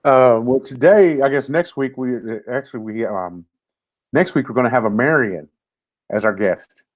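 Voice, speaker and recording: A man's voice: medium pitch, normal speed. A middle-aged man co-hosting a casual show from home over a video call, laid-back and jokey, often trailing off into a mumble. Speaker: co-host. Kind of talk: casual chat.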